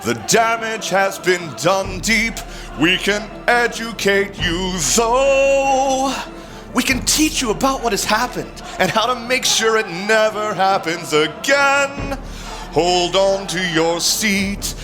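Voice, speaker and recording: High pitched guy reading dramatically, really drawn out, loud choral music behind. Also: slow. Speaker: reader. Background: dramatic orchestral choral music.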